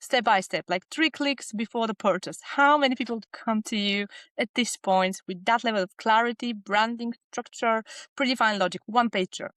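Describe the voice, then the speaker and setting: A woman's voice: a little high, moderate pace, a bit bright, no room sound. A woman from Bulgaria speaking English with a Bulgarian accent, a business psychologist in a casual podcast interview who talks in short, earnest listed fragments.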